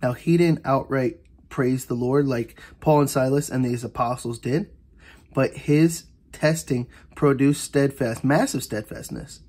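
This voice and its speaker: A man's voice: mid-range voice, a little slow, darkish tone, breathy edge. A man explains a Bible passage in an earnest, informal teaching voice. He often repeats phrases and restarts his sentences with fillers, as if thinking aloud while he points through the page. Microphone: voice-over on an overhead camera view of an annotated Bible.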